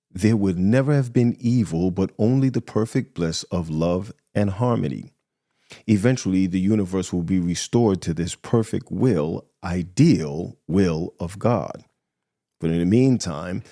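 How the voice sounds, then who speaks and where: Low, mellow-voiced male pastor reading. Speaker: pastor reading a daily religious lesson. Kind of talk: scripted devotional reading.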